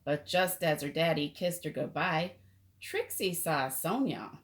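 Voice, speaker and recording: A woman reading a picture book aloud to children as an expressive storyteller. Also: sits low, measured pace.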